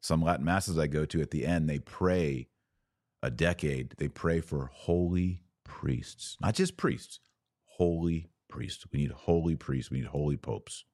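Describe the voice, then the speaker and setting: Really deep male voice, dry close sound, earnest religious podcaster, repeats stuff for emphasis. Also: a little slow, darkish tone, dry recording. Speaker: podcast host answering live viewer comments. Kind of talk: live religious commentary.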